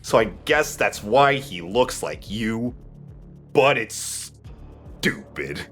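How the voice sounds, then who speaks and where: A man's voice: lowish voice, sing-song, slow, a bit bright. Guy doing a lets play, swears a lot, jokey, voice goes up and down, bit of music behind. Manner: sweary, joking. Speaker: let's play commentator. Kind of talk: casual gaming chat with co-host.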